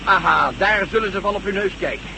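A man's voice: high voice, fairly quick, vocal fry. A man playing a cocky, sardonic pilot in a science-fiction radio play, wry and emphatic. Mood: excited, cocky. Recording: noisy old radio play, aircraft drone.

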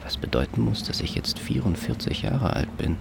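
An actor playing a dazed, resigned middle-aged optometrist and war veteran, musing tersely in a radio drama monologue. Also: male voice, lowish voice, sing-song, normal speed, gravelly, exaggerated voice. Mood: musing, detached. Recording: music behind the voice. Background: music.

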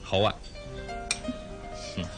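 An actor playing an attentive, teasing middle-aged suitor in a Cantonese radio drama, with a Hong Kong accent. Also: male voice, extremely slow. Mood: tender, caring. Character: middle-aged married man, attentive, smooth-talking suitor. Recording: radio drama with music underneath. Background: background music.

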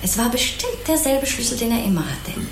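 An actress playing a kindly, naive, chatty housewife in an obliging, rambling way in a German crime radio play. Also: female voice, fairly high pitch, sing-song, fairly quick, gravelly, reverberant, a little hiss or hum. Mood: earnest recollection. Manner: obliging, artless, rambling, sympathetic.

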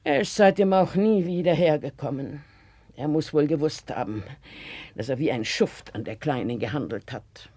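Old housekeeper woman, brooding n bitter, slow pointed telling, 60s german radio play, really dry sound. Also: low-pitched, warm tone, husky, dry recording. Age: older. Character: elderly Russian housekeeper, bitter and watchful.

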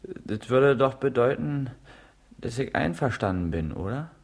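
An actor playing a principled, hesitant male secondary-school pupil in a naturalistic radio drama, deliberate and reserved. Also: medium pitch, lively intonation, gravelly, darkish tone. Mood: reserved, doubtful.

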